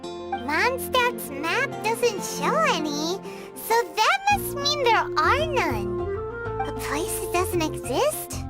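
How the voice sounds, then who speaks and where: A woman voicing a tiny, excitable, chatty fairy companion in a video game, with a squeaky childlike voice and hesitant little stammers. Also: slow pace, full character voice. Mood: pondering. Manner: refers to self in third person, hesitant stammering. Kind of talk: animated video game character acting. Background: music.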